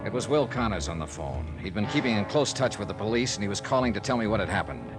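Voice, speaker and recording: A hard-boiled male investigator from 1950s radio drama. His lowish voice swings widely in pitch as he delivers sardonic, clipped, slangy lines with relentless toughness. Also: very animated, fast talker, loud music behind the voice. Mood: wry, narrating. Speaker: lead actor and narrator. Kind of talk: 1950s radio detective acting.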